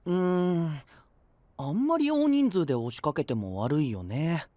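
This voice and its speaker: A man playing an upbeat, caring young knight in an anime-style voice drama, with casual, slangy and expressive speech. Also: on the high side, a little slow, dry recording. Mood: encouraging.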